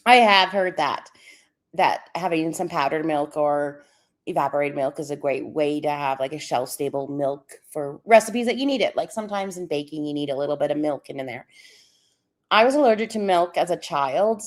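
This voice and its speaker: A woman's voice: low-pitched, normal speed. A woman chatting with stream viewers, laughing often and a little wheezy. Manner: laughs often, reads and answers the chat. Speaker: livestream co-host. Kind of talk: casual chat with stream viewers.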